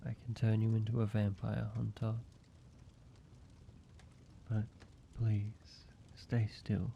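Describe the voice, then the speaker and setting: A tender, earnest vampire lover played by a man speaks intimately to the listener in an audio roleplay. The voice is lowish and gravelly, with halting, reassuring phrases. The recording is slightly dull. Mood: gentle, nervous. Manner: halting, hushed pleading, soft reassurance with pauses. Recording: dull sound, faint background noise.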